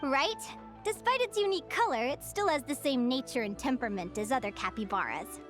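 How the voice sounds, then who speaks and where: A woman playing an earnest, gentle young female naturalist in a video game, explaining things articulately and with curiosity. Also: sits high, lively intonation, a little fast, soft background music. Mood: light. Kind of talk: scripted video game character dialogue.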